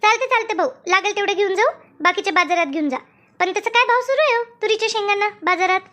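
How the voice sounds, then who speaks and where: A woman performs a cartoon village woman with a very high, almost childlike pitch. She has a Maharashtrian accent and talks in a homely, repetitive way, bossy but friendly. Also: fast talker, bright, forceful tone. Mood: matter-of-fact. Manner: chatty, repetitive, colloquial. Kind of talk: Marathi comedy cartoon dialogue.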